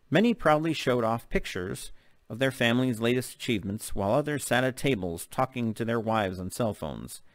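A man's voice: medium pitch, dry recording. A middle-aged man reading a novel aloud in an audiobook narration style. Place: open living room at home. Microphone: desk microphone close in front.

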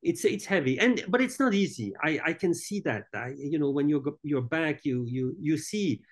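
A middle-aged man who was raised in Italy and Switzerland, giving an interview as head of a hotel group over a video call. He speaks haltingly, with many restarts and fillers. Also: on the high side, animated. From born in France, raised in Italy and Switzerland. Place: indoors, room with large painting. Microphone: video call webcam.

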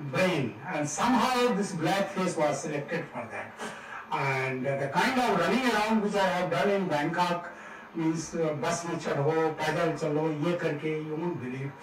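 A man's voice: on the high side, a little hiss or hum. An older man from India with an Indian English accent, giving a jovial guest lecture with Hindi asides and self-deprecating jokes. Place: large seminar hall. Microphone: room mic from afar. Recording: echoey hall, distant mic.

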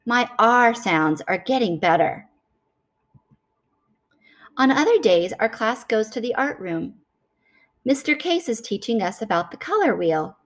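A woman reading a picture book aloud to children in an expressive, deliberate storytelling style. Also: fairly high pitch.

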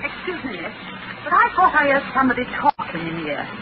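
A fretful ship's stewardess, coaxing in a homely dialect with a high, lively voice. The old broadcast sounds dull and full of hiss. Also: female voice, fairly quick. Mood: pleading. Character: anxious ship's stewardess, fussing and pleading.

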